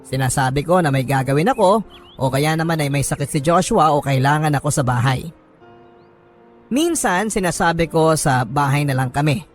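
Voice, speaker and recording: A Filipino man reading a first-person story as a radio host, in a measured, sentimental dramatic narration with pauses. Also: fairly high pitch, animated. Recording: music bed under the voice. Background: background music.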